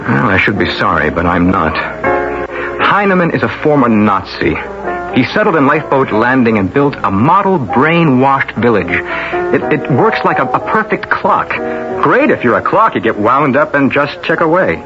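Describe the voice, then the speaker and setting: An actor playing a wry, cynical, down-on-his-luck newsman, talking in a halting, sardonic way in 1970s radio drama. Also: medium pitch, sing-song, lightly acted. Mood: amused, sardonic. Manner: conversational, hesitant, sardonic. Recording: slightly muffled radio, music behind.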